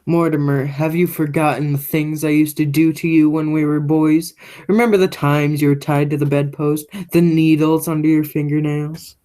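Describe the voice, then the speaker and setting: A woman's voice: deep voice, little pitch movement, moderate pace, mellow, natural voice. A teenage girl playing a vicious, commanding long-lost brother in an amateur radio play, speaking with threat. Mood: coldly menacing. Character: menacing, vicious grown man, sinister long-lost brother.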